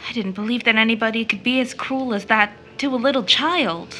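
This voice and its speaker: A woman's voice: on the high side, fairly quick, a bit bright, a little hiss or hum, natural voice. An actress playing an earnest, sympathetic woman in an audio drama, shocked and dismayed at what she hears. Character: sympathetic, shocked woman listening to a mother's story.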